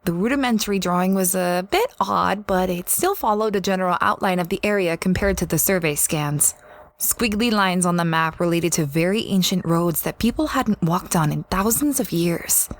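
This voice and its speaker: A woman playing a kind, curious space explorer who narrates and talks gently and earnestly in an audio drama. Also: on the low side, normal speed, lightly acted. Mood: reflective. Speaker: narrator and lead character. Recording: insect-filled jungle noise behind.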